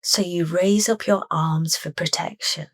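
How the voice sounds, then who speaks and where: Female meditation narrator: low register, soft and deliberate, dry studio-like sound. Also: steady tone, no echo. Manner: soft, unhurried, deliberate phrasing. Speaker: meditation guide.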